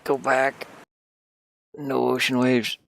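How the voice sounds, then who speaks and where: A man's voice: mid-range voice, monotone, takes it slowly, creaky, fairly bright tone, faint music behind, poor audio. A man casually thinking aloud as he works on a game, muttering to himself in short fragments. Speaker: hobby game developer sharing a work session. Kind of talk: casual think-aloud screen commentary while working.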